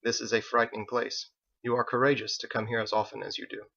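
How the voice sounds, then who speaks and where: A man reads as a composed, kindly woman in a fantasy storybook. The speech is formal and gentle at a middle pitch. The recording is slightly dull. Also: level tone, quick pace, vocal fry. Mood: reassuring.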